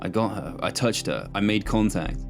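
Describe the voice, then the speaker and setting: A man voicing a shaken, weary male detective with a subdued, husky delivery, in an audiobook. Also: fairly low pitch, lively intonation, moderate pace, music in the background.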